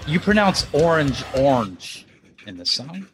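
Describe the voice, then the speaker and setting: A man's voice: a little high, sing-song, measured pace. A man hosting a casual interview, laid-back and laughing easily as he asks long questions. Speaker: podcast host.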